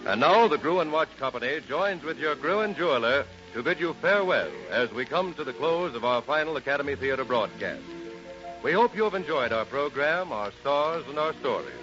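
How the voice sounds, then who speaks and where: A man's voice: a little high, expressive, normal speed, music in the background. An American man hosting a 1930s radio show in a formal, courtly announcing style. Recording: dull, noisy 1930s radio recording.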